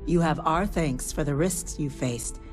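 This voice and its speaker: A woman playing a middle-aged president in a video game, formal and deliberate as she chairs a meeting. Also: sits low, darkish tone, faint music behind. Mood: formal, composed. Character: formal, composed female president chairing a cabinet.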